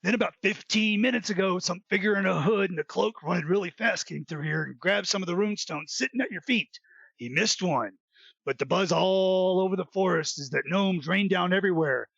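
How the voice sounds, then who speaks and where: Man playing a chatty pun-loving tree, raspy, high, jokey, comedic audio drama, slightly muffled echoey sound. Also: normal speed, a bit bright, full character voice. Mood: jokey, gossipy. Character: chatty punning talking tree in a magical forest.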